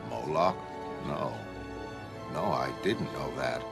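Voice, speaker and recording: A very low-voiced godlike male being from a motion comic, acted out by a man. He speaks in a measured, detached way, a quietly wounded superhuman scientist. Music plays behind him. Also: gravelly, full character voice. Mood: sombre. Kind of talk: motion comic character acting.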